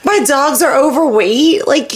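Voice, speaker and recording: A woman's voice: sits high, measured pace. A woman co-hosting a podcast, chatting casually and candidly, swearing freely and jokingly acting out bits of dialogue. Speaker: podcast co-host. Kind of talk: casual comedic chat.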